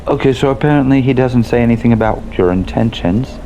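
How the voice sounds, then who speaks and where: A man's voice: medium pitch, fairly quick, dark tone, lo-fi sound, slight background noise. A man giving casual gameplay commentary as the host of a gaming video.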